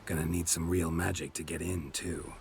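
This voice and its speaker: An actor playing a stoic, dry-witted monster hunter in a fantasy game, with terse, deadpan lines. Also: male voice, low-pitched, moderate pace, background noise. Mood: matter-of-fact. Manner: terse, deadpan, clipped sentences. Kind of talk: video game cutscene dialogue.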